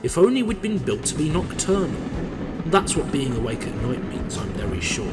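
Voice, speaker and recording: A man's voice: a little high, very animated, normal speed, loud music behind the voice. A man with a British accent voicing railway engines and railwaymen in a scripted storybook audio drama. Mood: earnest.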